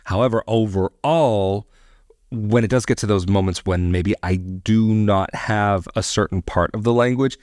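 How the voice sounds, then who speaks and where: A man hosting a reaction video, speaking in a thoughtful, measured way with frequent hedges. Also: fairly low pitch, darkish tone, dry recording, studio quality. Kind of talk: casual commentary. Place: living in Portugal.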